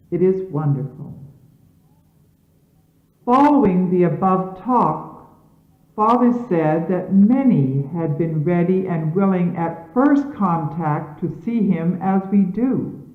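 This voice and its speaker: Woman reading scripture really slowly, solemn and formal, tinny phone-like old 70s tape. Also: medium pitch. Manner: measured, emphatic, formal diction. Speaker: religious leader reading scripture aloud. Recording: phone-like, echoey 1970s archival tape.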